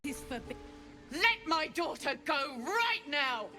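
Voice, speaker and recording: A woman's voice: high-pitched, very animated, takes it slowly, bright, forceful tone, soft background music, heavily acted. A woman playing a desperate, furious mother in a fantasy game, fierce and demanding. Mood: desperate fury.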